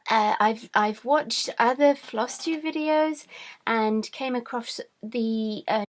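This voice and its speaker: A woman's voice: fairly high pitch, takes it slowly. Chatty middle-aged woman introducing herself. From France, born to British parents. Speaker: host, cross-stitch designer. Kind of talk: casual vlog introduction.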